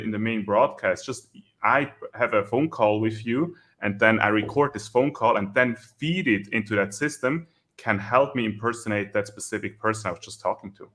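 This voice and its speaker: Man with a strong german accent, lower voice, walks through tech step by step. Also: a bit bright. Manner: methodical, explanatory. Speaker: security researcher co-host. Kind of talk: technical explainer chat.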